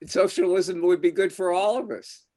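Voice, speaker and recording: A man hosting an online panel discussion, talking in an animated, opinionated way and thinking aloud. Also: sits high, moderate pace.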